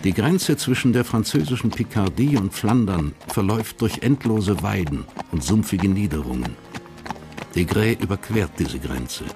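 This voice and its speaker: Faint music runs beneath a male narrator with a fairly low voice. He reads literary scripted narration for a radio crime drama. His delivery is measured, sober and detached. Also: lively intonation.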